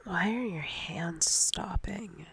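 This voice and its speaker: A woman playing a domineering, teasing female boss in a whispered ASMR roleplay, breathy and husky, speaking deliberately with seductive command. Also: lowish voice, a bit bright, exaggerated voice. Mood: stern, controlling.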